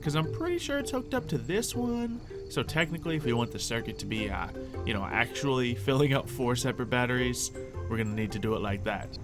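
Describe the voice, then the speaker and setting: A man's voice: medium pitch, lively intonation, brisk. A man giving casual, chatty let's play commentary, explaining things as a gaming video host. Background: background music.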